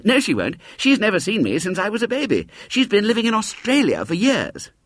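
A man voicing an eager schoolboy who coaxes and pleads, in a husky voice. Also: sits high, huge pitch swings, a little fast, fairly bright tone, dry recording, clean studio sound, pitched above the performer's own voice, exaggerated voice. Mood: pleading.

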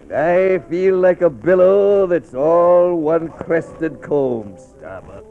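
An actor playing an obsessed, commanding old whaling captain in a gravelly voice, with theatrical, archaic oratory in a classic radio drama style. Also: male voice, fairly high pitch, expressive, slow, narrow, tinny audio, soft background music. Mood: brooding, fatalistic. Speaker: ship's captain.

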